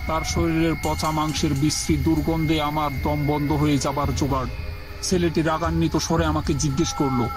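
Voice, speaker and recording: A man with a fairly high voice narrates a horror tale in the first person. His delivery is scripted, dramatic and suspenseful. Music runs clearly behind him. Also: flat delivery, normal speed.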